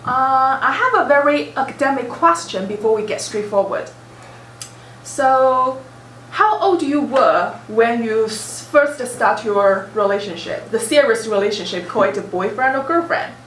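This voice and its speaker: Casting: higher-voiced woman host, teasing and curious, echoey room, mic distant. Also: animated, measured pace, a bit bright, slight background noise. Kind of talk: casual discussion.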